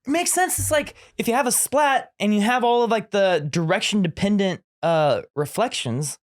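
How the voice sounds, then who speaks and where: A man's voice: sits high, lively intonation, normal speed, dry recording. Man explaining tech, dry close mic. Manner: technical, rambling explainer with restarts. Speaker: podcast co-host. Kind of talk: casual studio chat.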